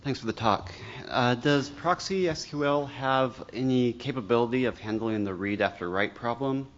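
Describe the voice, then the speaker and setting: A man's voice: mid-range voice, moderate pace, muffled recording. A man in a conference audience putting a technical question to the speaker. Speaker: audience member asking a question.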